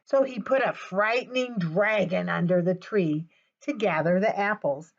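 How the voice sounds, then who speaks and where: A woman presenting a storytime for young children, talking to them directly in an animated way as she reads aloud. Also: mid-range voice, measured pace, gravelly, muffled recording.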